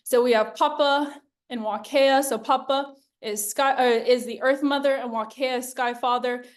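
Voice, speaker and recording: A woman from Hawaii speaks in a fairly high voice, presenting to a webinar audience. She explains her points earnestly and patiently, leaning on "um" and "right" as she goes. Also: fairly even intonation, moderate pace. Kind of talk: presentation talk.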